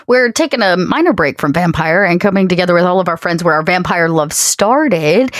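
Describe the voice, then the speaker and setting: Lowish woman, tabletop podcast player, casual n jokey, very dry recording. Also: lively intonation, a little fast, no echo. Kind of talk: casual chat.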